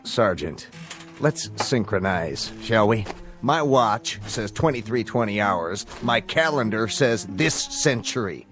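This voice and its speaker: Sarcastic, skeptical army officer. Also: male voice, fairly low pitch, expressive, slow, a bit bright, faint music behind. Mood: dry sarcasm. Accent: American. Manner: dry, condescending, sarcastic. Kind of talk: dramatic radio-play acting.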